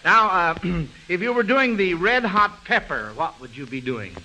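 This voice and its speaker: A man hosts an old-time radio quiz in a folksy, avuncular manner. His voice sits high and has a very bright, forward edge. He talks conversationally over a faintly noisy vintage broadcast. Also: expressive, measured pace. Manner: folksy, chuckling, repeats answers, avuncular toward children. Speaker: radio quiz show host.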